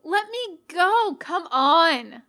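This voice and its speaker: A woman's voice: extremely high pitch, very animated, very slow, bright, forceful tone, pitched above the performer's own voice, full character voice. A woman voicing a bratty, defiant young rabbit girl in a storybook, sneering and mocking. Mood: scornful defiance.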